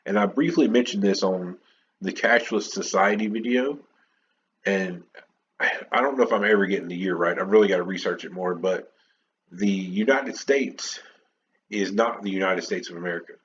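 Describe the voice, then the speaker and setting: A man's voice: low-pitched, normal speed, fairly bright tone, highs cut off, a little hiss or hum. A man hosting his own podcast alone, chatting unscripted and opinionated, rambling with many restarts and fillers. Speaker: podcast host. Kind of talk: unscripted solo podcast chat.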